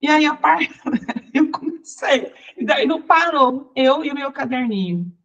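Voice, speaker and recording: A Brazilian woman, a songwriter and teacher, recounting her life in a chatty, lively interview. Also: high-pitched, a little slow, highs cut off. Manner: lively, chatty, restarts and laughs while recounting. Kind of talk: personal storytelling in conversation.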